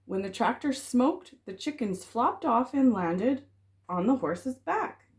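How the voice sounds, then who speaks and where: A woman teacher reading a children's storybook aloud, dramatic and expressive, drawing out the rhyming words. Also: a little high, slow pace.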